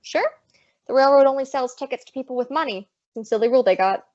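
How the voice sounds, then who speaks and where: A dull, lo-fi radio comedy recording carries a wisecracking, down-on-her-luck American showgirl, played by a woman. Her voice sits fairly high, and she tosses off slangy lines in a breezy deadpan, in 1940s style. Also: fast talker, close to an everyday voice. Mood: wry. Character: wisecracking, street-smart, broke American showgirl Maisie.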